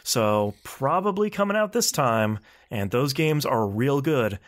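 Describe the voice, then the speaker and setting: A man with a mid-pitched voice hosts a video game podcast. He talks casually and enthusiastically, rambling along with a wry streak. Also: lively intonation, measured pace. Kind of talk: casual podcast chat about video games.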